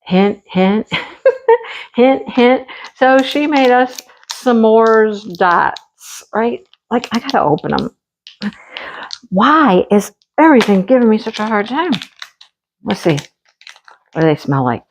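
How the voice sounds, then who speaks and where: Chatty, gushing woman, slightly hoarse. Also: a little high, expressive, takes it slowly, long pauses, a little hiss or hum. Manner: chatty and gushing, often says she loves things. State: losing her voice. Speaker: craft channel host. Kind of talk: casual show-and-tell chat.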